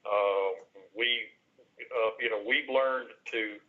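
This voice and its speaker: Male presenter, low voice, halting conversational delivery, heard through a narrow phone line. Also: steady tone. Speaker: business presenter, managed IT services provider. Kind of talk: sales presentation on a conference call. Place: conference call. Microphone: telephone. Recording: tinny band-limited telephone line.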